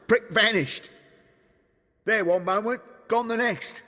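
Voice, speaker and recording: An actor playing a coarse male bandit thug in a video game, blunt and rough-voiced. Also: high-pitched, takes it slowly, bright, forceful tone, in a large hall, exaggerated voice. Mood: evasive, nervous.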